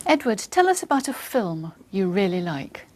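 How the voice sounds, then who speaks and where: A woman working as an oral examiner, asking scripted questions in a formal, measured way. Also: medium pitch, big pitch swings, darkish tone.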